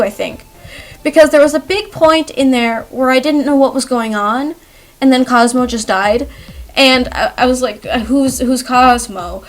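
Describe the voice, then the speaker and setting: High-voiced young woman rambling casually over music. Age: young adult. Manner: giggly, animated, self-deprecating. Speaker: YouTube artist telling personal stories. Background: background music.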